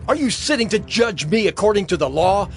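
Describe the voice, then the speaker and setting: A man's voice: high-pitched, lively intonation, brisk, creaky, soft background music. A man playing a defiant, impassioned apostle on trial in a dramatized Bible reading, declamatory and urgent.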